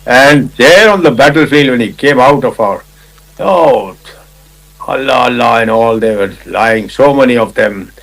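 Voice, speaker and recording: An older Indian man, a retired army officer, recounting a battle in conversational detail, with a loud commanding voice, acting out quotes and often clearing his throat. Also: medium pitch, a little slow, rough recording. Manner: loud commanding voice, vivid retelling with acted quotes. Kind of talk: conversational war storytelling.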